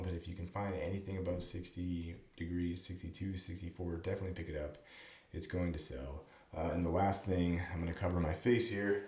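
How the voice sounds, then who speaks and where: A slightly hoarse man, who is sick and losing his voice, recapping his golf club sales in a chatty way. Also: low-pitched, a little mellow. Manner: chatty, explanatory, with frequent fillers. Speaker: golf club reseller and vlogger. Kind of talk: casual to-camera sales recap. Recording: roomy echo.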